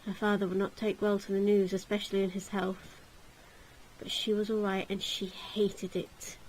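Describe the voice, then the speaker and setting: Casting: female narrator, mid-pitched, dramatic storybook reading of gothic fiction, faint noise in the recording. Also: darkish tone, low-quality recording. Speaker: narrator, game player reading aloud.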